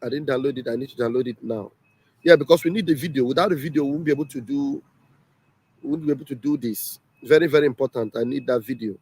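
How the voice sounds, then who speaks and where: A man's voice: medium pitch, quick pace, a little mellow, husky. A man hosting a casual livestream, emphatic and repeating himself often. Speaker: livestream host. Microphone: home livestream setup.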